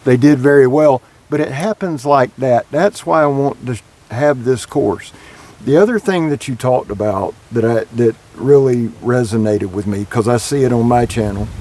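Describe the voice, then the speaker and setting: A man hosting a casual interview outdoors at a Florida camp, enthusiastic and quick to agree. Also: medium pitch, warm tone. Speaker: host.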